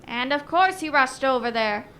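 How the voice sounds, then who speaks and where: A woman's voice: sits high, lively intonation, moderate pace, a bit bright, slight background noise. A woman playing an eager, friendly radio announcer who prompts a storyteller in an old-time style radio drama. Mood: eager, curious. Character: Mister Foreman, eager, friendly male radio host.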